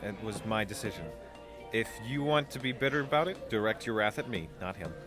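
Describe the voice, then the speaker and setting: A man's voice: lowish voice, animated, fairly quick, music in the background, natural voice. A man playing an anxious, polite young leader of a space biker squad in an audio drama, hesitant and nervously rambling. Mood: mild, firm.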